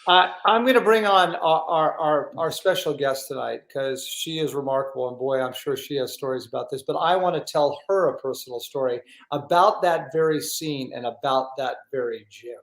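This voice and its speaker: A man with a slightly high voice hosts a chat show. He speaks effusively and leans on his words with emphasis. The sound is roomy and echoey. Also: moderate pace, hardly any pauses, a bit bright. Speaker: talk show host. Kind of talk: enthusiastic interview chat.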